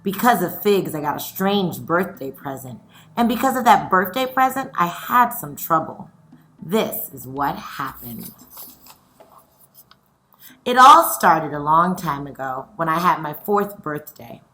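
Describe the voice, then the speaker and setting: A woman teacher addressing young pupils in a read-aloud lesson, instructive, asking questions with pauses and reading stories expressively. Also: deep voice, lively intonation, sharp tone, slight background noise.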